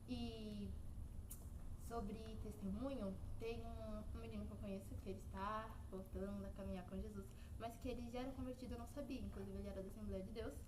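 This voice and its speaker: A woman's voice: mid-range voice, a little fast. A young Brazilian woman giving casual testimony as a youth podcast guest, acting out dialogue as she tells her stories. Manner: animated storytelling, acting out dialogue. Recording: echoey room, distant mic, clear noise.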